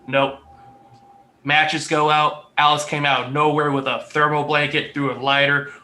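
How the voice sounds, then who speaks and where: A man's voice: on the high side, level tone, normal speed, pushed and bright. A man in a casual panel chat, telling stories with dry sarcasm. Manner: sarcastic, trivia-heavy storytelling.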